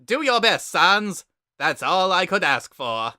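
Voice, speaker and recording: A man's voice: high voice, very animated, slow, pushed and bright, dry recording, studio quality, voice pushed up, exaggerated voice. A man voicing a proud, exuberant skeleton younger brother in a dramatic story reading, declaiming each line earnestly and emphatically. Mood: earnest, emphatic.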